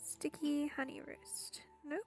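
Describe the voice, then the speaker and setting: A woman's voice: high voice, flat delivery, takes it slowly, in a whisper, music in the background. A woman gamer giving casual gameplay commentary, offhand and muttering to herself as she plays.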